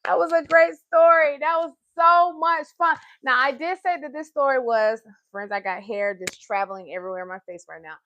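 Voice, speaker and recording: A woman hosting a children's storytime livestream, chatting to small children and parents in an animated, encouraging, sing-song manner. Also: sits high, normal speed, hardly any pauses, dull audio, a little hiss or hum.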